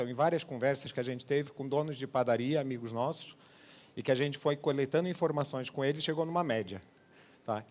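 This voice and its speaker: A man with a medium-pitched voice and a Brazilian accent carrying a Portuguese tinge. He presents at a business conference in a conversational, explanatory way, often adding small tags to check that listeners follow. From Brazil (born Rio de Janeiro) and Portugal. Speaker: sales manager giving a conference talk. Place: trade congress presentation space.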